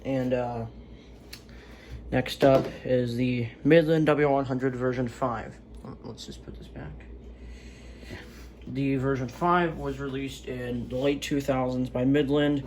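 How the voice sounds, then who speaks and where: A man's voice: medium pitch, slow, darkish tone, slight background noise. A man giving a casual, unscripted product review in a hesitant, rambling way, on an amateur home recording. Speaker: gadget reviewer.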